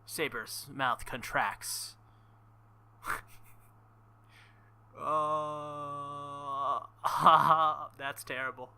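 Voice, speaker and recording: A man reading a visual novel aloud with casual commentary, halting and embarrassed, often breaking into nervous laughter. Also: a little high, steady tone, gravelly, pushed and bright, smooth. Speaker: let's play host.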